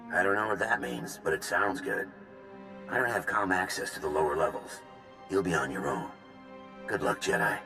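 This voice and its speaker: An actor playing an earnest, duty-bound military officer in a video game. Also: male voice, deep voice, moderate pace, vocal fry, bright, forceful tone, soft background music, full character voice. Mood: earnest urgency. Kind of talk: dramatic video game voice acting.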